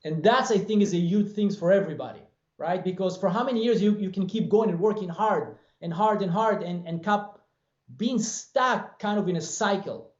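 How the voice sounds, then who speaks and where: A man's voice: sits high, moderate pace. A man in his forties with an Israeli accent, speaking earnestly and motivationally as an interview guest over a video call from a bare room. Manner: earnest, motivational, gestures with hands. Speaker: guest, franchise business owner. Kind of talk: interview answers. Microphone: webcam on video call. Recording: roomy dull video-call audio.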